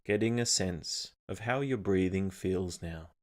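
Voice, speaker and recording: Man, very low voice, meditation guide, calm, measured, scripted instruction.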